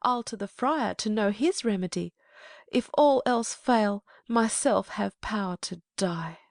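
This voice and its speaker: A woman's voice: mid-range voice, a little slow, gravelly, no echo, clean studio sound. A woman playing an anguished, passionate teenage noble girl in an impassioned Shakespearean dramatic reading. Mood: bitter, resolved. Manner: pleading, impassioned.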